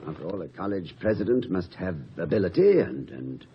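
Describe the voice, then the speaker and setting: An actor playing an anxious, modest college president in a 1950 radio comedy, stammering and courtly. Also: male voice, lowish voice, lively intonation, a little fast, vocal fry, slightly rough, heavily acted. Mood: sheepish, hesitant. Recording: muffled, noisy old radio recording.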